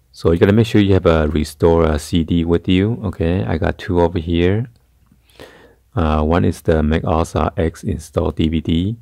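A man giving a casual hands-on tech tutorial in a halting, hesitant way. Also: low-pitched, warm tone, no room sound, clean studio sound. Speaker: tutorial host. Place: carpeted room indoors.

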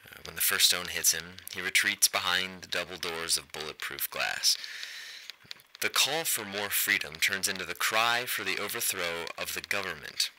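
A man's voice: sits low, normal speed, pushed and bright, poor audio. An actor playing a grim, self-loathing, disillusioned man, giving an intense, declamatory monologue in a radio play.

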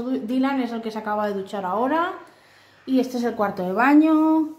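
A woman from Spain giving a casual, homely tour of her small flat, filming on a handheld phone, often apologizing and checking in with "okay?" as she goes. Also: mid-range voice. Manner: homely, apologetic, lots of fillers and "¿vale?". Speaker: vlogger giving a house tour. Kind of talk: casual chatty home tour. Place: small dim flat with tiled kitchen and bathroom, indoors. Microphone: handheld phone camera. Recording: echoey tiled rooms.

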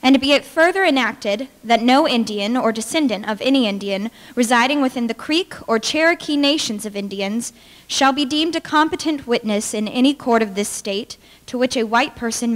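Young woman, slightly high voice, formal document reading, measured delivery, reverberant hall. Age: young adult. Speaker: dramatic reader. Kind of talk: formal read-aloud of historical legal text. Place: indoor hall with brick walls, small audience. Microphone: podium microphone.

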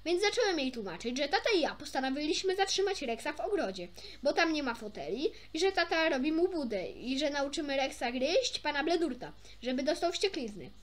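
A woman's voice: high-pitched, big pitch swings, fairly quick. A young woman reading a children's story aloud expressively, informally, with small stumbles and chatty asides. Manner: informal, stumbles over words and self-corrects. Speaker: amateur storybook reader.

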